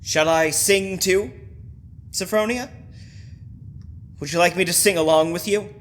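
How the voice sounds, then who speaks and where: A male actor as a menacing man toying with his victim in an old-style radio horror play. His voice is high for a man, slow and deliberate, with a taunting edge. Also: fairly bright tone. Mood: taunting menace. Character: menacing, teasing brother-in-law stalking a woman. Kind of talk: old-time radio horror drama acting.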